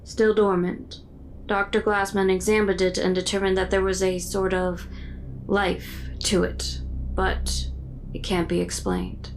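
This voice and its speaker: A woman playing a composed, dutiful military officer who speaks in a calm, measured way in a sci-fi drama. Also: mid-range voice, a little hiss or hum, close to an everyday voice, low-quality recording. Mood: formal, dutiful. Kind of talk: dramatic sci-fi audio acting.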